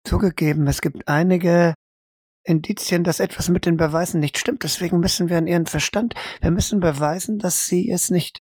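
A woman's voice: fairly low pitch, steady tone, brisk, a little mellow, slightly rough, dry recording. A woman playing a stern psychologist who confronts a criminal bluntly and insistently in an audio role-play. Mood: stern, accusing.

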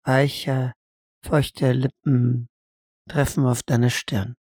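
A woman acting in an audio drama as a doting mother, softly soothing her little son. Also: rumbling low, level tone, extremely slow, no echo, studio quality, heavily acted. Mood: tender, soothing. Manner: soft, breathy, doting.

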